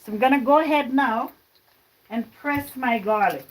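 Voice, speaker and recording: A woman's voice: high-pitched, normal speed, noisy background. A woman born in Jamaica, chatting to her livestream viewers as she cooks in her home kitchen. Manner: chatty, talks to viewers while cooking. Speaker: livestream cooking host. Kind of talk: casual cooking livestream. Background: frying and stirring sounds.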